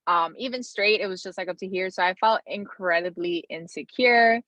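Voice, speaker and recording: A woman's voice: medium pitch, normal speed, bright, forceful tone, dry recording, highs cut off. A woman telling personal stories casually as an interview guest, peppering her talk with "like" and "you know". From Bronx, New York City. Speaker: interview guest, company founder.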